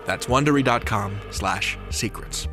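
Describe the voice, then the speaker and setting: A man's voice: medium pitch, measured pace, music in the background. A man hosting a podcast in a polished, playful presenter style. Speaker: podcast host. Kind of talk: interviewing and scripted show outro.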